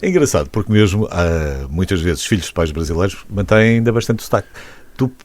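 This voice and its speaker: A man with a European Portuguese accent, hosting a radio interview in an animated, chatty way. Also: low-pitched, brisk, no echo. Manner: lively, animated, talks over his guest. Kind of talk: casual interview chat.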